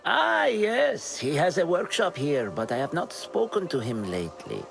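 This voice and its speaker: A man's voice: fairly high pitch, huge pitch swings, moderate pace, creaky, over music, exaggerated voice. A man playing a proud, testy elderly scholar in a video game, pompous and theatrical. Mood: dismissive. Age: older. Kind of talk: video game cutscene acting.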